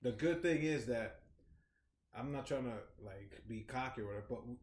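A man's voice: mid-range voice, normal speed, darkish tone. A man in his forties co-hosting a podcast, talking in a laid-back, reflective way and swearing casually. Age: 40s. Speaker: podcast co-host. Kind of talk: casual chat. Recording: echoey room.

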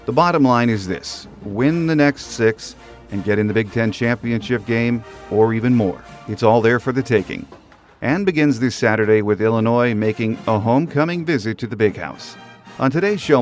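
A man hosting a sports podcast, reading scripted commentary to fans. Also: fairly low pitch. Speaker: podcast host.

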